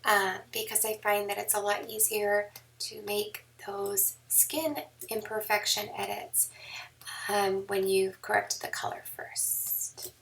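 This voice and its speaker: Hoarse, whispery woman, gravelly tone. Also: mid-range voice, slow, far from the mic. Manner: relaxed, with many ums. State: losing her voice, hoarse and whispery. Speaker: newborn photographer. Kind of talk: screen-recorded editing tutorial. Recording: mic set back, faint background noise.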